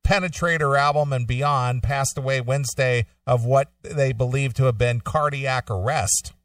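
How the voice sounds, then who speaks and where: A man's voice: medium pitch, fairly even intonation, moderate pace, fairly bright tone, no room sound, recorded from a distance. A man co-hosting a casual talk show, reading out news items and commenting in an informal, joking way. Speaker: show co-host.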